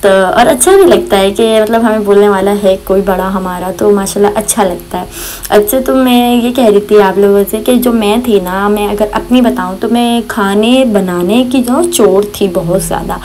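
Young female cooking vlogger, mid-pitched, rambling friendly voice-over, faint hum behind. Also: normal speed, a little mellow. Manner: chatty, rambling, many fillers and religious phrases. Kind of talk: casual voice-over chat. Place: home kitchen. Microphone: voice-over recorded separately.

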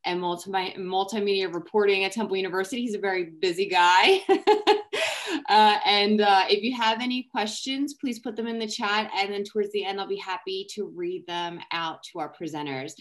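A woman's voice: medium pitch, steady tone, normal speed, fairly bright tone. A woman in her thirties moderating an online event informally over a video call. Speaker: event host. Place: room at home, indoors, on a video call. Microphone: computer mic on video call.

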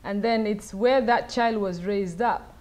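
A middle-aged woman from South Sudan answering audience questions at a lectern, speaking thoughtfully with many pauses and fillers. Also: mid-range voice, darkish tone. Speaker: guest speaker. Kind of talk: lecture Q&A answers. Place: wood-paneled event room, at a lectern. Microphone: lectern mic.

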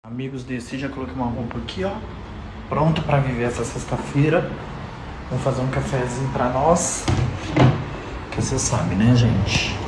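A man's voice: medium pitch, normal speed, long pauses, roomy sound, very noisy. A young man filming himself with his phone at home, chatting casually to followers. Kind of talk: casual phone selfie video. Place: indoors at home, kitchen. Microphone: phone at arm's length.

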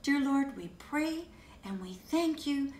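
An older woman giving a children's message, gently and expressively telling a picture-book Bible story in a living room. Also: sits high, slight background noise. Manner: slow, gentle and expressive, like reading aloud to children. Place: living room, seated in an armchair. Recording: echoey room, distant mic.

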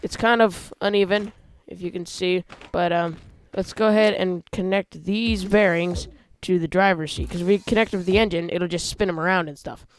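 A man hosting a casual gameplay video, talking through how he builds a vehicle, with excited yelps when he drives it. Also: high-pitched, normal speed, few pauses, slight background noise. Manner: chatty, explains while building, excited yelps when driving. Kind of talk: casual let's-play commentary.

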